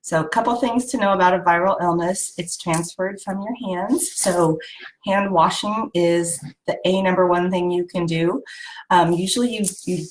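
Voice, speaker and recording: A woman's voice: lowish voice, moderate pace, rough recording. A woman with a head cold and a nasal voice, casually hosting a live video and explaining health tips with lots of ums. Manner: chatty, explanatory, frequent ums and "right?".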